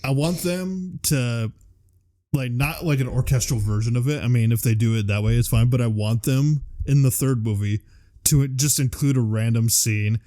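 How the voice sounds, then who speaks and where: A man co-hosting a casual conversation, speaking like an enthusiastic, animated fan who swears casually. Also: medium pitch, moderate pace. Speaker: podcast co-host. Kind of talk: casual chat about video game movies.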